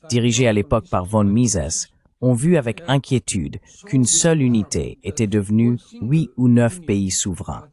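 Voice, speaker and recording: A man's voice: medium pitch, darkish tone. A man delivering a translated voice-over of an interview, explaining things as a commentator.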